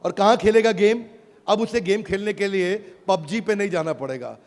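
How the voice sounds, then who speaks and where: High-voiced Indian man addressing an echoing hall. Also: normal speed. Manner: emphatic, rhetorical, calls out to the audience and repeats phrases. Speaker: business speaker presenting a product launch. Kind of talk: motivational stage sales presentation. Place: large event hall with live audience. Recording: echoing hall, audience noise, loud background.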